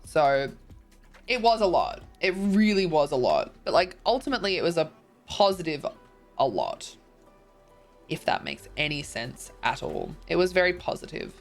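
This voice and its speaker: A woman's voice: sits low, sing-song, moderate pace, soft background music. A tired, congested woman, chatting casually as a streamer and reading viewer messages, rambling with lots of ums and likes.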